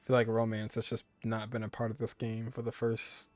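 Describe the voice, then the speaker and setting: A man's voice: fairly low pitch, completely flat delivery, fairly quick, no echo. A man hosting a gaming video, giving casual running commentary on a playthrough. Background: game music.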